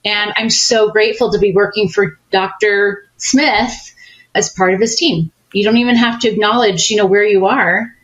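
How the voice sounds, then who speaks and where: A woman consultant speaks as a podcast guest, her voice sitting in a mid range. She explains things patiently and in a friendly way, like a hands-on coach. Her delivery is relaxed and conversational. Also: mid-range voice, normal speed, lo-fi sound. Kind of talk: casual interview chat.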